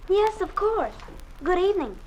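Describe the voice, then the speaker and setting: A cold, zealous schoolgirl of twelve in a 1950s radio drama. Her voice sits high, and she speaks in a prim, self-righteous way, coolly accusing the adults. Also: very animated, normal speed, slight background noise, rough recording.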